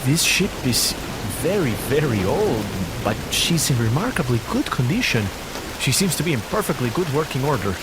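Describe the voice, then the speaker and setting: A man's voice: mid-range voice, big pitch swings, normal speed, close to an everyday voice. An actor playing a loyal, worried sailor, who speaks respectfully to his captain in a radio drama. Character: loyal male sailor, anxious, deferential. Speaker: ship's mate. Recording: loud rain and storm noise.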